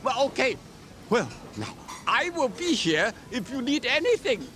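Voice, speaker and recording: Excitable, high-voiced restaurant boss. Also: male voice, measured pace, noisy background, heavily acted. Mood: earnest, concerned. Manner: earnest, fretful, exclaiming. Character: excitable, polite foreign male restaurant boss. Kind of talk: video game cutscene acting.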